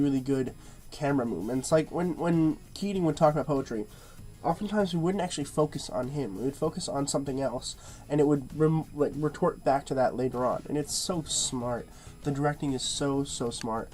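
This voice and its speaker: A man's voice: medium pitch, darkish tone. A man with a stuffy, nasal-sounding nose giving a casual movie review, rambling and padding his speech with fillers and repeated words.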